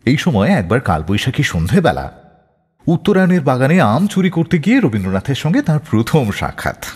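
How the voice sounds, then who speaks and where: A man hosting a radio show, narrating a scripted story in an expressive, measured storyteller's delivery. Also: mid-range voice, breathy edge, in a large hall.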